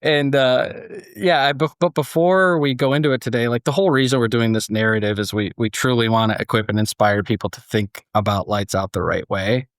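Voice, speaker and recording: A man's voice: mid-range voice, fairly even intonation, fairly quick, hardly any pauses, no room sound, clean studio sound. A man with an American accent hosting a podcast in a chatty, jokey, casual way. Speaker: podcast host.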